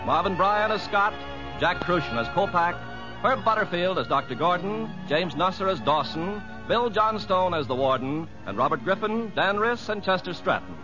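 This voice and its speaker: A man's voice: high-pitched, expressive, moderate pace, over music. An American man announcing on 1950s radio, reading a script in a polished, formal delivery. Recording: dull vintage 1950s radio recording.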